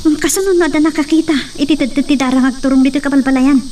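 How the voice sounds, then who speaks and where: A woman's voice: high-pitched, fairly even intonation, quick pace, dark tone, distant mic, a little hiss or hum, close to an everyday voice. A woman playing an anxious but determined young daughter in an Ilocano drama, with a Filipino Ilocano accent. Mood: worried, urgent. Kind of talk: Ilocano radio-style drama acting.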